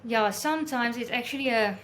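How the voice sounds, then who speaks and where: A woman from South Africa whose first language is Afrikaans, a guest in an interview, friendly and quick to laugh, with hesitant fillers. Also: on the high side, a bit bright, a little hiss or hum. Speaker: guest, photographer and travel blogger. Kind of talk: casual interview chat.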